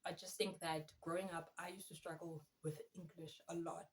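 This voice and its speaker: A woman in her thirties, Afrikaans her first language, chatting to camera about her life. She has a fairly low voice and talks in a loose, self-mocking way, full of animated asides. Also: normal speed, slight background noise. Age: 30s. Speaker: vlogger and student teacher. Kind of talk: casual chat to camera.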